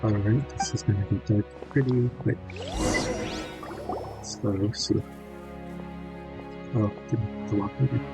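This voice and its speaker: A man's voice: fairly even intonation, unhurried, long pauses. A man giving casual, offhand gameplay commentary as a streamer on a built-in microphone. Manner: low-key, offhand. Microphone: built-in device microphone. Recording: built-in mic under loud game music.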